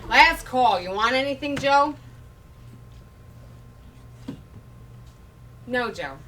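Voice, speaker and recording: A woman's voice: high voice, expressive, moderate pace, over loud music. A woman playing a blunt, exasperated female bar worker in a comic stage monologue, casual and conversational. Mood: fed up. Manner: casual, profane, conversational storytelling. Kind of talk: live comedic stage monologue. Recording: distant mic, noisy live room.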